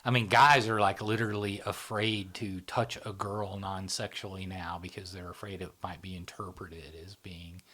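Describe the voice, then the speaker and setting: A man's voice: sits low, level tone, sharp tone. A man hosting a podcast, talking casually and working through ideas aloud, often checking in with "you know" and "right?". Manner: conversational, drawn-out, frequent "you know" and "right?". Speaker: podcast host. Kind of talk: casual discussion.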